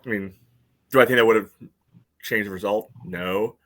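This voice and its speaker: A man with a low voice talks through a casual sports podcast. He rambles loosely and leans on filler phrases between his points. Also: normal speed, a bit bright. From United States. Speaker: podcast co-host.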